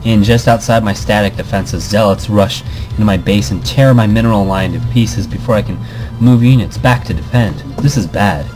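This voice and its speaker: A man's voice: fairly low pitch, normal speed, over music, low-quality recording. A man hosting a casual let's play, reading visual novel text aloud with commentary and often stumbling and repeating words. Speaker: gaming video host.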